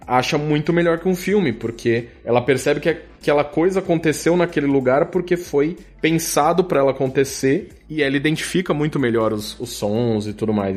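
A man's voice: a little high, a little mellow. A Brazilian man in a casual podcast conversation, explaining his creative work in an informal, colloquial way as a guest. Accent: Brazilian Portuguese.

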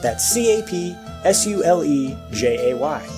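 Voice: medium pitch, big pitch swings, unhurried. A video game streamer reading a short scripted promo for their stream, with guitar music behind.

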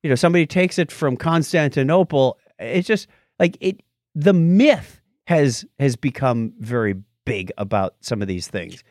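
A man co-hosting a podcast in casual conversation, animated, often stammering and restarting his phrases. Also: medium pitch, normal speed, dry recording, clean studio sound. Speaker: podcast co-host.

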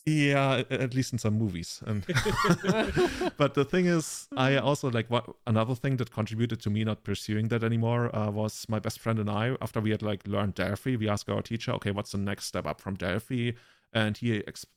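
A man's voice: on the low side, normal speed, no echo, clean studio sound. A man in his thirties with a German accent, chatting casually as a podcast co-host and telling rambling, self-mocking anecdotes.

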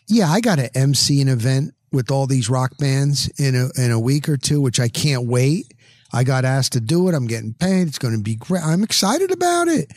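A man's voice: fairly high pitch, darkish tone, dry recording, studio quality. A middle-aged man hosting a podcast, rambling casually through anecdotes in an exuberant, slangy way and repeating words for emphasis. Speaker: podcast host.

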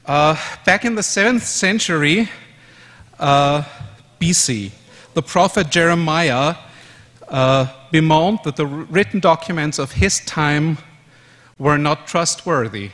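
A man in his fifties from Austria whose first language is German, giving a measured conference presentation in English with fillers and self-corrections. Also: a little high, a bit bright. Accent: German-speaking Austrian. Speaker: conference speaker. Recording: long hall reverb.

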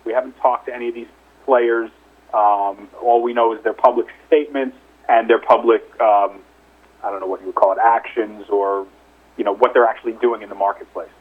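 Male company executive, husky, conversational and hedging, heard through a narrow phone-line recording on an investor call. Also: fairly low pitch, fairly even intonation, fairly quick. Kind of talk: investor call question-and-answer. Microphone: telephone conference call. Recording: narrow, muffled telephone line.

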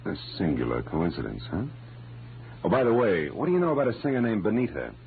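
A man's voice: on the low side, huge pitch swings, fast, creaky, a little hiss or hum, exaggerated voice. An actor playing a wry, hard-boiled private detective in a 1940s radio drama, with a clipped tough-guy delivery on a muffled old recording. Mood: probing, wry.

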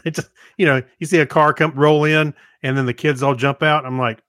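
A man with an American accent co-hosting a podcast, chatting casually in an informal, halting way. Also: on the high side, steady tone, a bit bright, no echo, studio quality. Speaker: podcast co-host.

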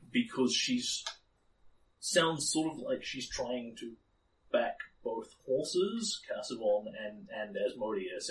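A man's voice: medium pitch, slight background noise. Man, game master, rambling explanation, lots of ums, slightly worn voice, distant mic. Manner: rambling, many ums and restarts. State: slightly losing his voice. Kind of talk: narrating a tabletop game.

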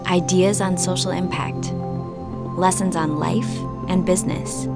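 A woman hosting a podcast, narrating scripted segments in a calm, measured way. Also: on the low side, loud music behind the voice. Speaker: podcast host.

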